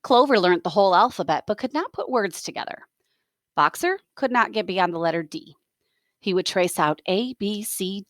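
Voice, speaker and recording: A woman reading a story aloud as a teacher, expressive, with explanatory asides. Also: fairly low pitch, dry recording, clean studio sound.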